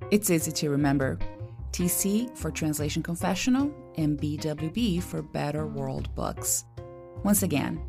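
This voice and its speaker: A woman from Brazil whose first language is Portuguese, hosting a podcast and telling a personal story in a reflective, expressive way. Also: sits low. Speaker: podcast host and translator. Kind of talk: personal monologue with an ad read.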